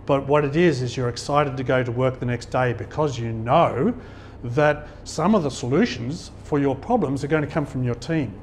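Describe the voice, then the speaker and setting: A man's voice: medium pitch, normal speed, a little hiss or hum. Man, easygoing anecdotal speaker. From Australia. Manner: conversational, anecdotal, chuckles at his own stories. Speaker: guest speaker at a business networking event. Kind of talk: informal talk to an audience. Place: function hall.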